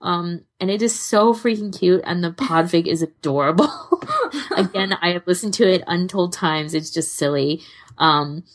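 A woman's voice: on the low side, moderate pace. A woman co-hosting a podcast, chatting casually and giving recommendations in an informal, rambling, giggly way. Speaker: podcast co-host.

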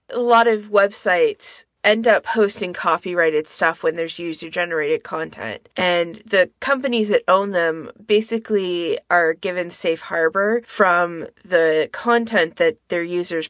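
Female podcast co-host, slightly low voice, close dry sound, informal explanatory chat. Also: no room sound.

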